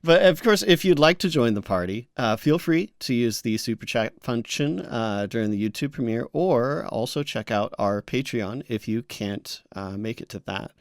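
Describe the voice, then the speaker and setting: A man chats casually as a podcast co-host. His voice is smooth and clean at a middling pitch, recorded dry without room echo, and he feels his way through sentences with restarts. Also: animated, moderate pace, hardly any pauses.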